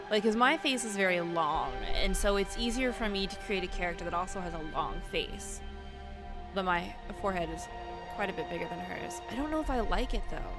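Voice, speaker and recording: A woman giving casual, chatty game commentary, thinking aloud in an informal way as the host of her video. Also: medium pitch. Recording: loud music behind voice.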